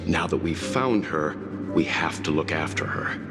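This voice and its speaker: A man's voice: extremely low pitch, lively intonation, a little slow. A man playing a kindly, thoughtful crewman in a video game, choosing words with care. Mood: gentle, earnest. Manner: considered, careful wording. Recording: reverberant hall effect, music behind.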